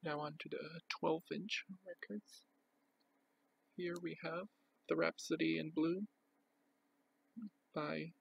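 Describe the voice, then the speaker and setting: A man presenting items from a collection in an unscripted show-and-tell, with a plain, halting, itemising delivery. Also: on the high side, creaky, husky, rough recording.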